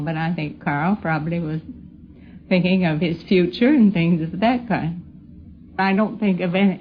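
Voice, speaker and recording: An older woman with an American accent, reminiscing in a measured, matter-of-fact way in a dull 1950s radio interview. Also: lowish voice. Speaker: interviewee recalling an old acquaintance. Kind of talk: unscripted reminiscing.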